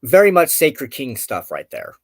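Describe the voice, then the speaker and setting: A man hosting an informal, reflective spiritual talk, speaking in a halting, contemplative way as he searches for words. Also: medium pitch, huge pitch swings, dry recording, studio quality. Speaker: host of a spiritual show.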